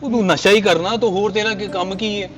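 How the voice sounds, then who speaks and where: High-voiced soft-hearted friend , acted by a man. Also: steady tone, fast, natural voice. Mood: reproachful. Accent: Pakistani Punjabi. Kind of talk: casual amateur drama dialogue.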